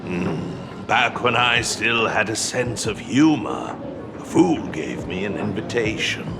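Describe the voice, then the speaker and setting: A man voicing a world-weary detective in a video game, with a dry, deliberate way of talking. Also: on the low side, very animated, vocal fry, noisy background, full character voice. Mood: wry.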